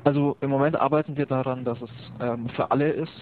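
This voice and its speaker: A man's voice: medium pitch, fairly even intonation, fast. A man from Bavaria, a guest explaining his web project over an internet call, with many filler sounds. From Bavaria, near Augsburg. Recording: thin phone-like line with faint noise.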